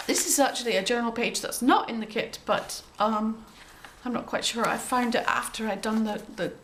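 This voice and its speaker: Woman, croaky from a cold, gentle and chatty, lots of ums, slightly high pitch, faint background noise. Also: a little slow. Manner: gentle, chatty, with frequent ums and throat clearing. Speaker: craft journal maker showing her work. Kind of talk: casual show-and-tell.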